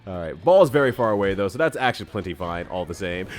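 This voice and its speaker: A man commentating a video game with friends, reacting excitedly and laughing. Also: low voice, fast talker. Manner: excitable, laughing, lively play-by-play. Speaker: let's play commentator. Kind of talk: casual gameplay commentary.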